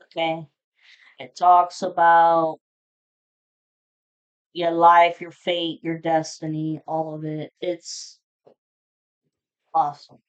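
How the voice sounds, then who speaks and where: A woman's voice: low voice, monotone, slow pace, pauses often, smooth, muffled recording. A woman giving a casual, unscripted tarot reading to viewers, speaking in a blunt, informal, foul-mouthed manner. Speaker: tarot reader hosting a zodiac reading.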